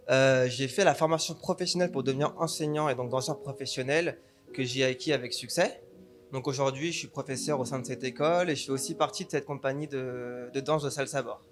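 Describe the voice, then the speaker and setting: A man's voice: mid-range voice, brisk. Man, dance teacher, from the Paris suburbs, enthusiastic, repeats himself, faint music behind. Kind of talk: interview answers.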